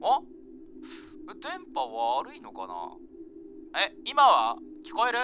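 A man playing a carefree, rough-spoken young traveller in an amateur radio drama, talking in a casual, blunt way. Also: mid-range voice, huge pitch swings, slow, pushed and bright, a little hiss or hum, heavily acted. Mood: casual, searching.

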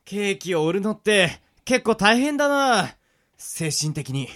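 A man's voice: high voice, very animated, a little slow, fairly bright tone, heavily acted. A man playing a listless, whiny young cake seller in a radio drama. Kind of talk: amateur Japanese radio drama acting.

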